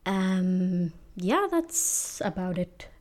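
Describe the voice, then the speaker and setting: Mellow-voiced Danish woman in her twenties. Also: on the low side, sing-song, drawn-out words. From Denmark. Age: 20s. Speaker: podcast co-host. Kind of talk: casual chat.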